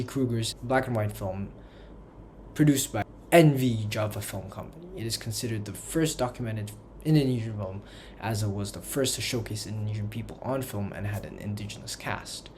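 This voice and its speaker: A man's voice: lowish voice, moderate pace, darkish tone, a little hiss or hum. A man reading a scripted history narration for a personal school project, in careful textbook phrasing. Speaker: student narrator.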